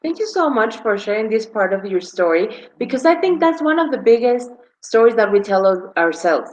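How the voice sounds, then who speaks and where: A woman's voice: on the high side, big pitch swings, normal speed, darkish tone. A woman hosting an interview show on a video call, thanking her guest effusively and speaking with frequent fillers. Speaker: show host.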